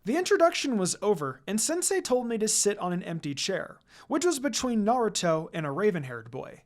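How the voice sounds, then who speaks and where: A woman reading a first-person fan fiction story aloud in a casual, expressive, dramatized way. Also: mid-range voice, a little fast.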